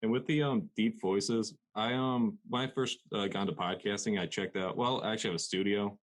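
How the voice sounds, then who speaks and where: A man's voice: fairly low pitch, steady tone, a little fast. A male podcast host chatting casually into a studio mic, laughing often and saying "like" a lot. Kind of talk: casual interview chat. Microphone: dynamic studio microphone.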